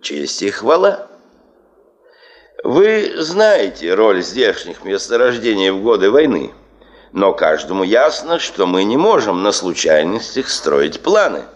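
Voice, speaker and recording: Low-voiced, swooping, stubborn geology chief, male. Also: very animated, slow, muffled recording. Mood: oratorical. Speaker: actor in a radio play. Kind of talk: Soviet radio drama acting.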